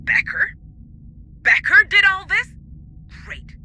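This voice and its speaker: Sharp, high-voiced harried female marine. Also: lively intonation, slow, full character voice. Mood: frustrated. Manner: urgent, blunt, swearing. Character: harried military comrade in the armory, blunt and loyal. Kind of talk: cinematic sci-fi horror game acting.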